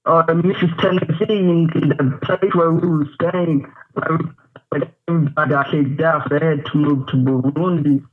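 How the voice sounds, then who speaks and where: A man in his twenties from Tanzania calls in over a tinny phone line. His voice sits fairly high, and he rambles and halts through his story with many fillers. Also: fairly even intonation. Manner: rambling, halting, lots of fillers. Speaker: caller.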